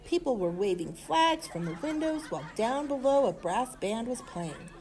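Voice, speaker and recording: A woman reading a children's story aloud in an expressive manner. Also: on the high side, moderate pace. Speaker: storybook reader.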